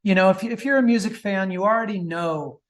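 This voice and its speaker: A middle-aged man speaks in a noticeably high register for a male voice. He is an author guest in casual conversation, keen on his subject and often branching off into asides. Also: a little fast. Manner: enthusiastic, digressive. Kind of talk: casual interview chat.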